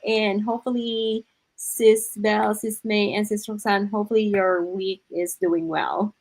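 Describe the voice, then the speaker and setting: A woman's voice: medium pitch, rough recording. A woman from the Philippines with a Filipino accent, casually hosting an online livestream. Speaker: host.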